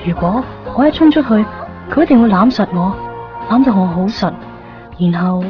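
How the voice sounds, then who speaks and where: A woman's voice: medium pitch, animated, normal speed, lightly acted. Woman playing a lovesick young woman. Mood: wistful. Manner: intimate, wistful inner monologue. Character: young woman secretly watching the man she loves. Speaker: lead character and narrator. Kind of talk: 1990s radio drama acting. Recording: dull lo-fi radio play with music.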